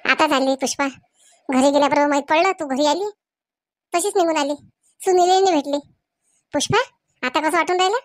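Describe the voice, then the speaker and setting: Woman playing a high-voiced, caring village aunt. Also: a little fast, long pauses, no room sound, clean studio sound, natural voice. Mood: reassuring. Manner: reassuring, advising. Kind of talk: Marathi village comedy cartoon dubbing.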